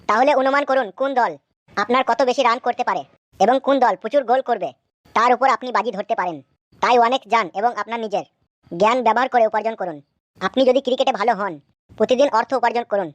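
Woman softly reading a sponsor ad. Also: a little high, no echo. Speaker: advert reader. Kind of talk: read-out sponsor ad.

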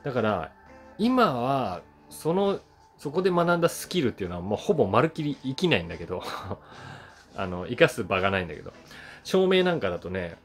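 A man in his forties casually reminiscing to camera in an animated, conversational way, acting things out with sound words. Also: mid-range voice, fairly quick. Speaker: voice actor and YouTuber telling memories. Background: soft guitar music.

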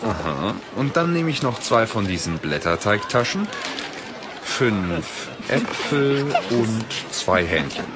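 A man's voice: on the low side, very animated, slow pace, over music. A man playing a composed young mage in an audio drama, speaking formally and politely. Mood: suave.

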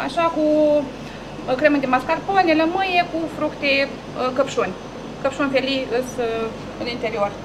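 A woman's voice: high voice, background noise. A young woman shop assistant explaining the products, hesitant and full of fillers. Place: small delicatessen shop indoors.

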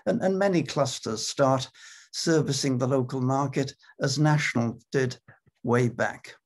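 An older Welsh man, a native Welsh speaker, presenting a webinar over a video call, measured and given to repeating words. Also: mid-range voice. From Wales.

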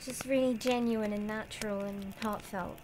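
A woman's voice: medium pitch, steady tone, moderate pace, background noise. A middle-aged woman giving casual gameplay commentary, musing aloud to herself. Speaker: game streamer and voice actress. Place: indoor room, seated at a desk. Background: game music.

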